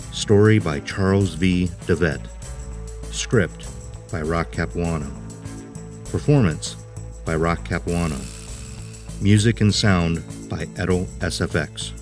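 A man reading a scripted outro and credits as announcer, formal and measured. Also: low-pitched.

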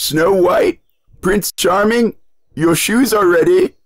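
A man's voice: sits high, very animated, takes it slowly, exaggerated voice. A man playing a kindly, proud old shoemaker in a fairy-tale cartoon, speaking expressively. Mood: bright, proud. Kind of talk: animated fairy-tale dialogue.

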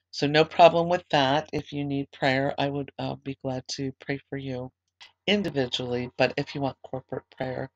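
Slightly hoarse woman leading an online service. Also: very deep voice, dry recording, dull audio. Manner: conversational, with fillers and restarts. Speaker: ministry leader. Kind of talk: online service announcements and prayer.

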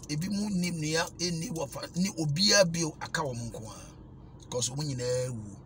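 A man's voice: a little high, bright, forceful tone, a little hiss or hum. A middle-aged Ghanaian man preaching emphatically into a dashboard phone while driving. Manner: emphatic, preachy, repeats blessings. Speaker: evangelist. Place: inside a small car.

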